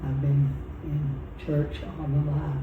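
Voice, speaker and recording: A woman's voice: sits low, flat delivery, slow. An older woman plainly introducing herself and her family in a matter-of-fact way. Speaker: interviewee. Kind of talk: casual self-introduction. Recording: echoey, dull, noisy room.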